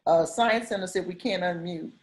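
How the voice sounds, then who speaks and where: A woman's voice: on the low side, normal speed, reverberant. Emphatic woman moderating an online panel. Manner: emphatic, repeating key phrases. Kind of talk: informal panel facilitation. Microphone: video call.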